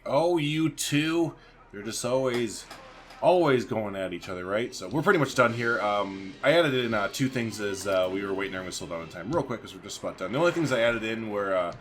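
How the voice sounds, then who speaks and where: A man's voice: lowish voice, animated, brisk, a bit bright. A man doing casual let's-play commentary, chatty and informal, thinking aloud as he plays. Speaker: gaming video host. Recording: faint background noise.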